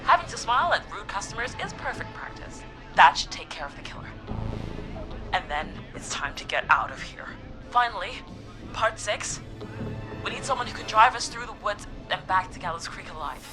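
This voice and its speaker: A woman voicing a gutsy, nervy teenage girl who leads an escape plan in a video game, excitable and rallying. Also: medium pitch, moderate pace, natural voice. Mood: determined, upbeat. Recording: music behind the voice.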